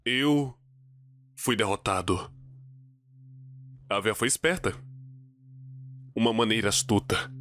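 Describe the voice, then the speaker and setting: A man's voice: on the low side, a bit bright, voice pushed down. A Brazilian man voicing a brooding, defeated mobster type in a cartoon, ominous and grudgingly respectful.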